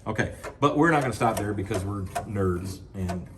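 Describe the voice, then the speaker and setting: A man's voice: lowish voice, expressive, moderate pace, noisy background. Man teaching at a sound desk. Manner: casual, explanatory, speaking into a handheld mic while adjusting a mixing console. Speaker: audio trainer. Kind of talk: hands-on sound desk tutorial. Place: church sound booth at a mixing console. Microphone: handheld microphone.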